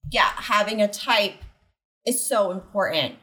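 A woman chatting casually about acting, telling a story in an animated way and quickly backing up her co-host. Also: mid-range voice. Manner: animated, quick agreeing interjections.

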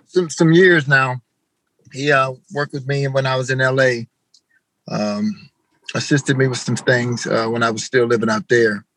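A man from Arkansas, an interview guest speaking in an easygoing, halting way. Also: medium pitch, fairly even intonation, fairly bright tone, dry recording, poor audio. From Arkansas, USA. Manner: easygoing, halting, repeats words. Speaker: guest musician. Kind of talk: casual interview over video call.

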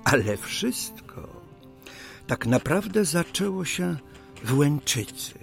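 An actor playing a 19th-century Polish official, speaking in formal, old-fashioned phrases with deliberate pauses, earnest and dignified in an audio drama. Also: male voice, on the high side, sing-song, creaky, soft background music, exaggerated voice. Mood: nostalgic, reflective. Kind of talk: audio drama acting and diary narration.

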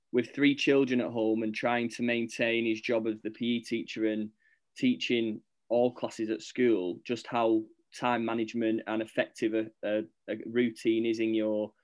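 An upbeat man hosting a school podcast, mid-pitched and friendly in an encouraging way. He reads a scripted welcome, then slips into loose casual chat with his guest. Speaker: podcast host.

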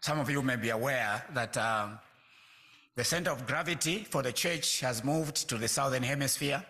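A man in his sixties from Zambia, a pastor speaking to a congregation in a measured way, pausing often with fillers. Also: medium pitch, bright, forceful tone. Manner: measured, with frequent hesitant fillers. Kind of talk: church talk to a congregation.

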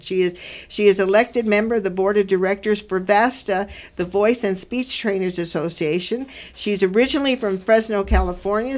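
Older female radio host, mid-range voice, chatty and effusive, interviewing guests in studio. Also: mid-range voice. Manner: effusive, exclaiming.

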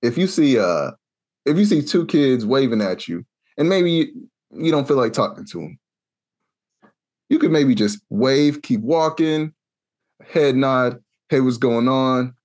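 Young man from kansas, podcast co-host, animated casual storytelling, mid-pitched voice. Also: measured pace. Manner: animated, acts out quoted voices.

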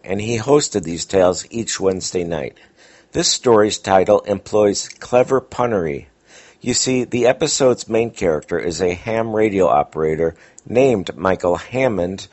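A man's voice: on the low side, darkish tone. A man hosting and introducing old radio episodes in scripted narration, on a dull recording. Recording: dull, lo-fi sound.

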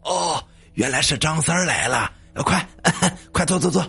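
A man's voice: mid-range voice, expressive, breathy edge, faint music behind. Man acting a weary, sighing old village man. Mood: anxiously welcoming. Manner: sighing, haltingly pleading. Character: weary old village man, gentle, anxious guardian of a secret.

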